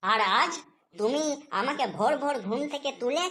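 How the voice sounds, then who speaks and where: Nagging wife for cartoon comedy, female performer: high voice, scolding, sarcastic, suspicious, always offended. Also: moderate pace, very noisy, lightly acted. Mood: scolding, aggrieved. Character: nagging, suspicious, quick-to-take-offence Bengali housewife.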